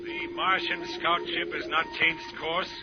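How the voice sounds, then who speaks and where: Casting: male actor as formal, wary space admiral, high-pitched for a man, vintage radio drama, muffled with music. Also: little pitch movement, lightly acted. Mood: stern, resolute. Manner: formal, measured orders. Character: stern, wary military space fleet admiral. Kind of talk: old-time radio serial acting. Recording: dull old radio recording with music.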